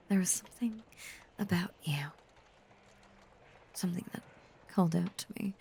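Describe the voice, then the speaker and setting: A woman playing a possessive, obsessive female werewolf in an intimate audio roleplay, half-whispering with slow, seductive menace. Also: on the low side, vocal fry, darkish tone, slight background noise. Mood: obsessive, menacing. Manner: slow, lingering, seductive delivery.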